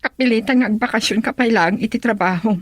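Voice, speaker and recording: High-voiced, protective elder sister. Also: female voice, fast, slightly rough, no room sound. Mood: concerned. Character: concerned, bossy elder sister, protective and frank. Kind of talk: radio drama acting.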